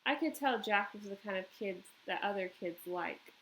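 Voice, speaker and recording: Female teacher-narrator, medium pitch, children's read-aloud with explanatory asides, noisy home-style recording. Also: lively intonation, moderate pace, a bit bright. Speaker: teacher reading aloud to pupils.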